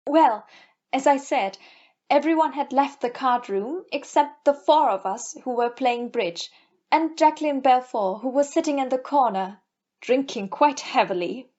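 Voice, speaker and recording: A woman's voice: high-pitched, unhurried, darkish tone. A woman playing a nervous, defensive young lady in an audio play, hesitant when questioned, on a muffled recording. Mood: composed. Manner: hesitant, faltering under questioning.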